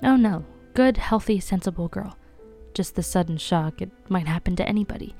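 Woman reading a grave male doctor, measured, low mellow voice. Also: animated, soft background music.

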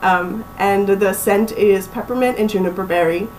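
A woman's voice: mid-range voice, normal speed, distant mic, slight background noise, low-quality recording. Young woman, a bit off-mic. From Pennsylvania, USA. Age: young adult. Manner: relaxed, chatty, laughs easily, many ums. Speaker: guest, small business owner. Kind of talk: casual interview.

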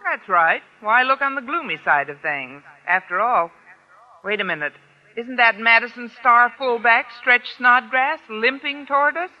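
An actress playing a wry, wisecracking American high school English teacher in an old radio comedy, with dry, articulate delivery. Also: female voice, moderate pace, close to an everyday voice. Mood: sardonic. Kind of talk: 1940s-50s American radio comedy acting and narration. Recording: muffled old-time radio recording.

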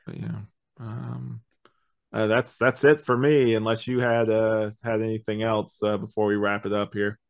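Low-voiced man chatting on a podcast. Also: little pitch movement, normal speed, gravelly. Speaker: podcast host. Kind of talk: casual review chat.

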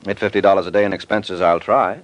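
An actor playing a suave, wisecracking private detective in an old radio drama, dry and nonchalant. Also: male voice, low-pitched, steady tone, quick pace, natural voice. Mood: wry, cool.

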